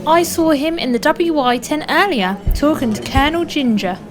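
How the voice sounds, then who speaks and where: A woman's voice: sits high, lively intonation, normal speed. An actress playing a helpful village woman giving witness in a comic radio drama. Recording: music behind the voice.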